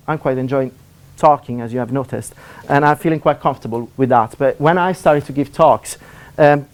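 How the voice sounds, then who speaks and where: A man from Italy, speaking English with an Italian accent, giving a seminar talk as a university lecturer; he talks fast with many fillers and restarts. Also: fairly high pitch, darkish tone.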